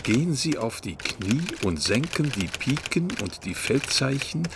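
An actor playing a plain, wry medieval storyteller, narrating in a radio play. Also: male voice, medium pitch, big pitch swings, unhurried, creaky. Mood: wry storytelling. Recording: clicking, clattering noise behind.

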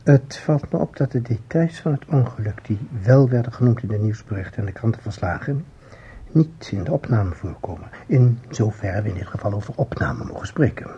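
An actor playing a measured, analytical investigator with a husky, muted voice in a Dutch radio play. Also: male voice, on the low side, lively intonation, warm tone, a little hiss or hum. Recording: slightly dull radio recording.

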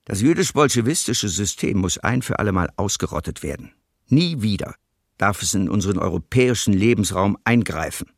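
A man playing a ruthless German field marshal, reading a formal order coldly and deliberately in a radio play. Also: on the low side, expressive, no echo, clean studio sound. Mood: cold, stern.

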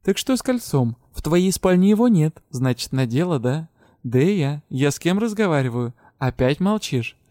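A man's voice: on the high side, big pitch swings, normal speed, warm tone, no echo, clean studio sound. A man voicing a fierce, bossy female spirit of death who snaps and growls orders in an audiobook. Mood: scolding.

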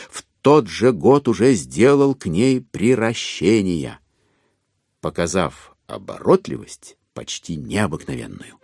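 A man narrating classic literature for an audio drama, expressively, with measured phrasing and dramatic pauses. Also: medium pitch, a little mellow.

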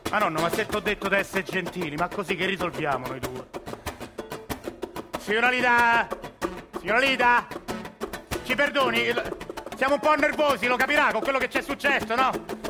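A hot-tempered Roman father, voiced by a man in a stage radio play. He is urgent and bossy, and his voice sits high. Instrumental music runs underneath. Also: lively intonation, moderate pace, pauses often. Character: excitable middle-aged Roman father, scheming and hot-tempered.

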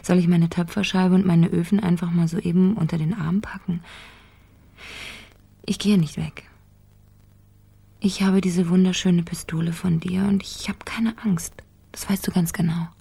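Headstrong potter in a radio play, mellow breathy voice, lots of fry. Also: female voice, lowish voice, steady tone, normal speed, pauses often, full character voice. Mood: defiant. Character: stubborn, fearless woman potter, the investigator's partner. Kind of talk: German radio crime drama acting.

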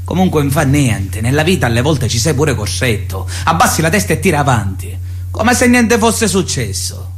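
Picture a mocking, menacing Sicilian suitor who styles himself a man of honour. His fairly high male voice swings expressively in a theatrical monologue, boastful and full of veiled threat. Soft music sits behind him. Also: sing-song, moderate pace. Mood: smug. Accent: Sicilian Italian.

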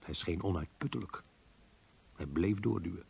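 Low, husky, brooding man. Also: normal speed, a little mellow, full character voice. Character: brooding, guarded man recounting his diary. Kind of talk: radio drama narration and dialogue.

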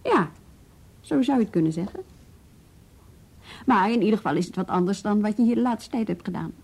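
An actress playing a composed, tactful but firm female police bureau chief in a Dutch radio drama, speaking with measured authority. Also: medium pitch, lively intonation, gravelly, darkish tone, slightly rough. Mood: firm, calm.

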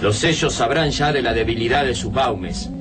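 A man's voice: medium pitch, normal speed, background noise, natural voice. A man playing a tense Argentine survivor in a radio play. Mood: reflective. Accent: Argentine Spanish. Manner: urgent, analytical. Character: tense male survivor fighting monsters. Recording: radio drama with music, a bit distant.

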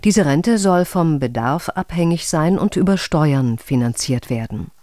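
A newsreader reading a formal radio news bulletin in a measured, even voice. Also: male voice, a little high, dry recording, studio quality.

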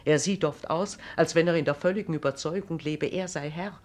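A woman's voice: sits low, a little fast, darkish tone, natural voice. Proud, imperious female regent played by an actress, standard German, formal and emphatic, classic radio-play delivery. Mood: scornful, resentful. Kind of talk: classic German stage-drama radio acting.

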